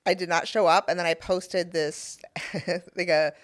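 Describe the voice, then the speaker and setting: A woman's voice: fairly low pitch, fairly even intonation, a little slow. A Canadian woman talking as a podcast guest in casual conversation, telling her story in an earnest, emphatic way.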